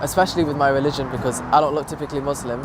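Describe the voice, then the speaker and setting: A man's voice: mid-range voice, steady tone, very fast. A young man, a student, speaking thoughtfully and searching for words in a documentary interview. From raised in Manchester, born in London. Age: young adult. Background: music.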